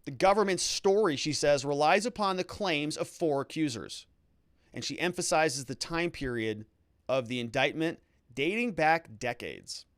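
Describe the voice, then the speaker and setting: A man with a slightly high voice delivers legal commentary as a show host. His pitch swings widely as he stresses key words theatrically, and the sound is dry and close. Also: lively intonation, moderate pace, no echo. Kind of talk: trial recap commentary, reading quotes aloud.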